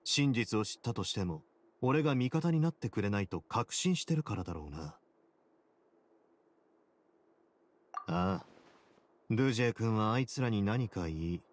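A man playing a composed, shrewd prison duke in an anime game, explaining things in a calm, measured, confident way. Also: lowish voice. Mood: calm, reasoning.

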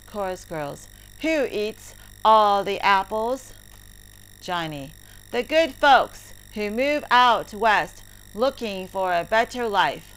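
A woman narrating a story lesson for English learners, reading deliberately word by word. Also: mid-range voice, expressive. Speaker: teacher narrator. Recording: heavy background noise, clicks.

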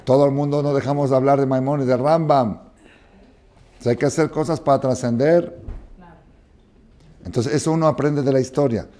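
A man in his sixties teaching a Torah class in a study hall, expressive and often asking his listeners whether they follow. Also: medium pitch, normal speed, long pauses. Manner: expressive, questioning listeners, acting out dialogue. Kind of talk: animated Torah lesson with parables. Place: study hall with wooden chairs, indoors.

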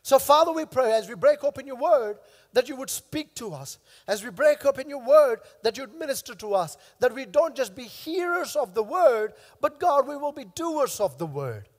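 A man in his thirties, a pastor with a hoarse, worn voice, preaching emphatically on a church stage into a headset mic, repeating key phrases. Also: very high-pitched, big pitch swings, normal speed, big-room sound. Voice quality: coughing now and then. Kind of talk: sermon. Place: large church stage with long reverb.

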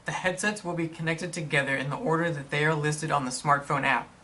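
A man reading scripted instructional narration in a measured, explanatory manner for a product tutorial. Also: on the high side, steady tone, bright, forceful tone, slight background noise.

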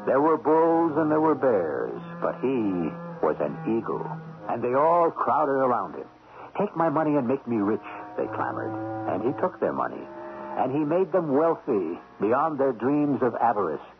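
A man's voice: mid-range voice, very animated, measured pace. A man narrating as host of a radio drama in a theatrical, knowing, teasing way. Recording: muffled vintage radio recording. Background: music.

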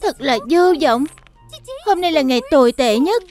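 A woman's voice: high-pitched, expressive, normal speed. A woman voicing a small, eager but easily discouraged girl fox in a children's cartoon dub. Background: background music.

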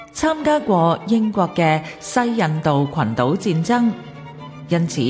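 A woman with a Hong Kong accent reading scripted documentary narration in a measured, formal manner. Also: on the low side, a little mellow.

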